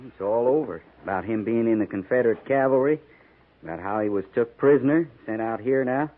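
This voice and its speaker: An American man playing a gruff, dutiful veteran cavalry sergeant in a 1950s radio western, terse and rough in his grammar. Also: mid-range voice, brisk, natural voice. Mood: dutiful, concerned. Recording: tinny 1950s radio recording.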